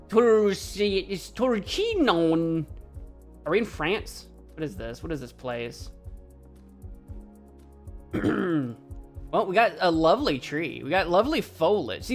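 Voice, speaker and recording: A woman live-streams a game, thinking out loud and joking at her own expense. Her pitch bounces around in a lively way, and she laughs easily. Faint music sits underneath her voice. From United States. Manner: chatty, joking, laughs often, thinks aloud. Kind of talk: casual live-stream commentary.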